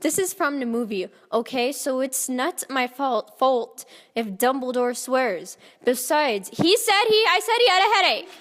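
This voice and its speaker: High-voiced woman reading in a reverberant space. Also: animated, unhurried, fairly bright tone. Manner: theatrical, often breaking into laughter. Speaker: narrator, reader. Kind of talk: comedic dramatic reading of fan fiction. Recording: long hall reverb.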